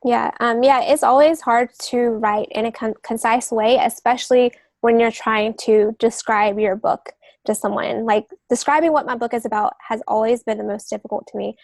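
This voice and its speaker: Higher-voiced woman explaining, fairly even tone. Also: normal speed, creaky, no echo. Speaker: guest expert, novelist and agent. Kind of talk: conversational podcast explanation.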